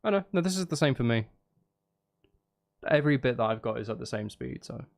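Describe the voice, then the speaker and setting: A man's voice: mid-range voice, animated, brisk, gravelly. A man chatting casually on a live stream, rambling and thinking aloud in a hesitant way. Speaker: live streamer composing game music.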